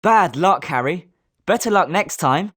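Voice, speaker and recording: A woman's voice: lowish voice, animated, unhurried, fairly bright tone, breathy edge, full character voice. A woman with a British accent voicing a confident, sporting schoolboy wizard with a competitive streak in a video game. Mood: consoling. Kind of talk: scripted video game character lines.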